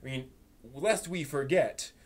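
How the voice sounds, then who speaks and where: A man's voice: mid-range voice, unhurried. A man talking casually as a live stream host, in a chatty, jokey way. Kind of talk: casual group discussion. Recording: clear background noise and ambience.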